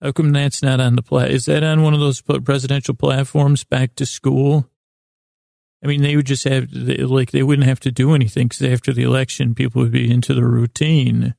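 A man hosting a sleep podcast, rambling through a whimsical bedtime monologue in a halting way, often repeating himself and talking to himself. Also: mid-range voice, level tone, gravelly, mellow, no room sound, clean studio sound. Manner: halting, meandering, repeats and trails off; whimsical, talks to himself in imagined dialogue. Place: inside a small closet.

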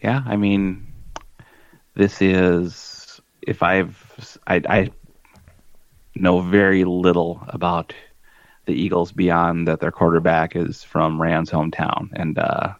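A man with a very low, deep voice chats about sports in a halting, wry way, leaning on "um" and "you know." The recording is dry and a little dull, like a lo-fi mic in a dead room. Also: monotone, no room sound. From small-town Minnesota. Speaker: podcast co-host. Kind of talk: casual sports chat.

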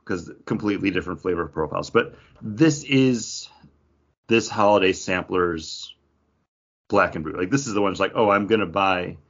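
A man's voice: low-pitched, normal speed, fairly bright tone, slightly rough, highs cut off. A man hosting a podcast in a casual, chatty style. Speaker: podcast host. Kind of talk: casual chat.

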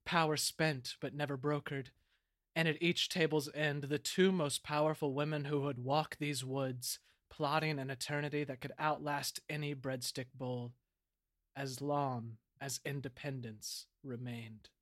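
Man narrating dramatically, slightly high-voiced. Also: level tone, measured pace, a bit bright, dry recording, studio quality.

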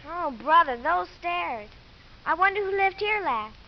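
High-voiced plucky young girl. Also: lively intonation, measured pace, heavily acted. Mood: curious, grumbling. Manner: streetwise, slangy. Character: plucky, wisecracking young girl, the coach's daughter. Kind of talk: 1950s radio drama acting. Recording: dull, noisy 1950s radio recording.

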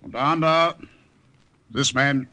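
An actor playing a harsh German sentry with a German accent, barking suspicious questions in a 1940s radio drama. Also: male voice, mid-range voice, slow pace, sharp tone.

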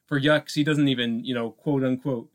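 A man co-hosting a podcast, giving casual film commentary in a halting, thoughtful, wordy way. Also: medium pitch, fairly even intonation. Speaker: podcast co-host.

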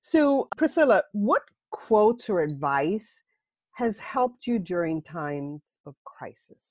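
A woman podcast host whose first language was Spanish, asking questions and responding warmly. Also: mid-range voice, sing-song, takes it slowly, no echo. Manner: warm, affirming, brief responses. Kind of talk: interview hosting.

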